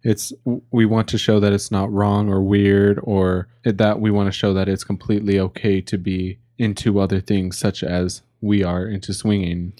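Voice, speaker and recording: A man's voice: on the low side, flat delivery, moderate pace, few pauses, mellow, no echo, clean studio sound. A young man in his early twenties co-hosting a casual podcast, a little drunk, rambling with fillers and restarts. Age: young adult. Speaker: podcast co-host.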